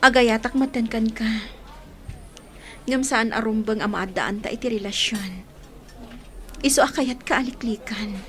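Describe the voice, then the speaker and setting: A woman's voice: fairly high pitch, measured pace, pauses often, fairly bright tone. An actress playing a torn young woman in love, hesitant and emotional, with an Ilocano accent in a radio drama. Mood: tender, torn.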